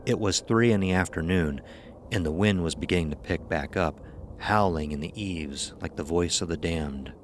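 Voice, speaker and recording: Deep-voiced man narrating horror. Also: moderate pace, slight background noise. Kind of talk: scripted horror story narration.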